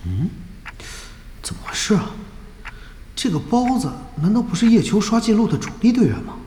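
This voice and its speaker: Eager young gamer, played by a woman, audio drama, animated and excitable, quick to get exasperated. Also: mid-range voice, slight background noise, close to an everyday voice. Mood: puzzled. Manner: excitable, blunt. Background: music.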